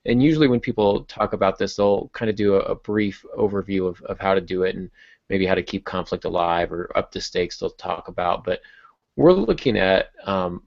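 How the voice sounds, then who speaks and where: A man hosting a podcast, explaining things conversationally in a halting way, as if thinking aloud. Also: deep voice, creaky, no room sound. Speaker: podcast host. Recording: dull lo-fi podcast audio.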